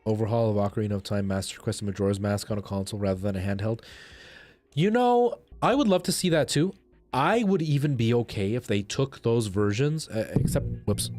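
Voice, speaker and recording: A man's voice: fairly low pitch, animated, brisk, darkish tone, faint music behind. A man chatting casually and enthusiastically with viewers as he hosts a video game livestream. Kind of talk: casual livestream chat while playing a game.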